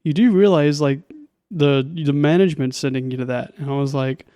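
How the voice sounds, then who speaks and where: Male podcast co-host, voice on the high side, wry and informal, lightly sarcastic chat in a dry recording. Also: brisk, dark tone, clean tone, no echo. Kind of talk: casual chat.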